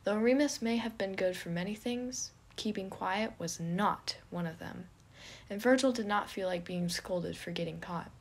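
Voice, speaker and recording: Female narrator, scripted story reading, breathy husky tone, mid-range pitch. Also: moderate pace. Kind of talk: scripted fan fiction narration.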